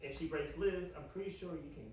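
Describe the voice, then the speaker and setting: A man playing a smug, greedy, wicked scientist in an animated comedy table read, stammering and brushing others off. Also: a little high, brisk, natural voice. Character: smug wicked male mad scientist, greedy, childishly defensive, scheming. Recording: roomy echo, distant mic, faint hiss.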